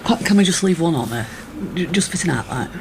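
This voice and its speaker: A wry miner's wife in a radio drama, cheeky and earthy. Her Northern English voice is low yet animated, with noisy ambience around it. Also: fast. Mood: matter-of-fact. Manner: dry, earthy, teasing. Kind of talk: naturalistic radio drama acting.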